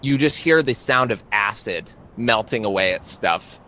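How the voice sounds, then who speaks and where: A man narrating a tabletop roleplaying game as game master, in a casual, halting, improvised way. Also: fairly low pitch, lively intonation, pushed and bright. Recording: clearly noisy live stream.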